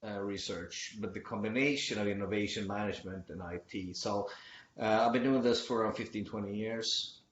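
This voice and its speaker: A man's voice: on the low side, steady tone, moderate pace, fairly bright tone, a little hiss or hum. A middle-aged man from Sweden giving an online conference presentation, hesitant with many fillers and restarts. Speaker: conference speaker. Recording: muffled lo-fi audio in an echoey room.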